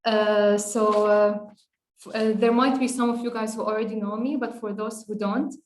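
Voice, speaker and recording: A young woman explains her design piece over a video call, filling pauses with uh as she goes. Her voice sits at a middle pitch, and the room around her rings with echo. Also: fairly even intonation, a little hiss or hum. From Syria. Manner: hesitant, many uh fillers, measured explaining. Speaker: architect and past prize winner presenting her work. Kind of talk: presenting slides in a webinar.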